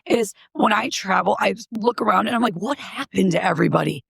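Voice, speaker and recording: Gravelly, scratchy-voiced woman comedian. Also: medium pitch, fairly quick, no room sound, studio quality. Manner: animated, laughing storyteller. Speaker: stand-up comedian. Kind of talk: casual chat.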